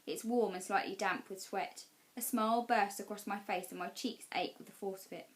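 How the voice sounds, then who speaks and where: A woman narrating a children's novel as a teacher would for class storytime, expressive and measured. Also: mid-range voice.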